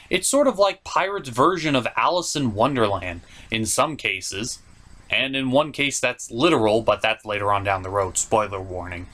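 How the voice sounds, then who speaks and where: A man's voice: medium pitch, a bit bright. A man delivering scripted review commentary with joking asides and an emphatic, mock-exasperated manner. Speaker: reviewer hosting an anime review show.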